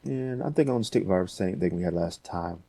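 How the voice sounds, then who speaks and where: A man with a very low, dark voice hosts a casual software tutorial. He talks unscripted, thinking aloud as he works. The sound is dry, recorded close to the microphone. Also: brisk, no echo. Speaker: tutorial host.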